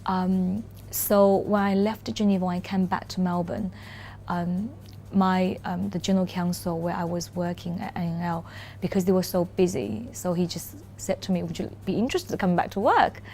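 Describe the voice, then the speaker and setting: A woman in her thirties from China, a Mandarin native speaking English with a Chinese accent, answering interview questions thoughtfully, with frequent "um" pauses and restarts. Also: lowish voice, fairly even intonation, a little mellow, slight background noise. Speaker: corporate lawyer, interview guest. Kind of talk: relaxed interview conversation.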